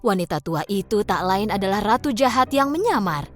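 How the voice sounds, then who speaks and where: A woman narrating a children's cartoon fairy tale in an expressive storytelling manner. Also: mid-range voice, a little fast, faint music behind.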